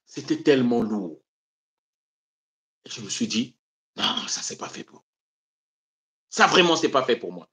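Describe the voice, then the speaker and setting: A man from Congo-Brazzaville hosting a live stream of political commentary. He declaims with passion, then drops into low muttered asides to his viewers. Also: big pitch swings, measured pace, long pauses. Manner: impassioned, rhetorical, swings between loud declaiming and low muttered asides. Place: home in Europe.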